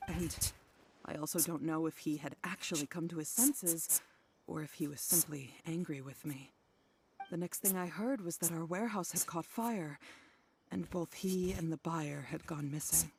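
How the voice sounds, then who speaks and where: A woman's voice: deep voice, normal speed, slight background noise. A woman voicing a worried middle-aged wife in a game, speaking wearily and apologetically. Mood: weary. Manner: weary, apologetic, soft-spoken. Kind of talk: game voice acting.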